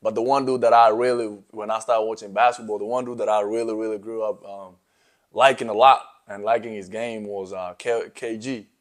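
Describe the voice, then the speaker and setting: A young man from South Sudan speaks in a low, level voice with little rise and fall. His answers come easy and unhurried, strung together with plenty of "you know" and "um", like a college player talking through his game in a relaxed interview. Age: young adult. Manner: laid-back, many fillers like you know and um. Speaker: college basketball player guest.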